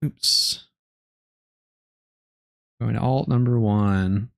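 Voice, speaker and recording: Young male streamer, low gravelly voice, dry close mic, laid-back late-night chatter. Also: extremely slow, no echo. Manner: low-key, relaxed, rambling with many fillers. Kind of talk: casual live-stream chat while gaming.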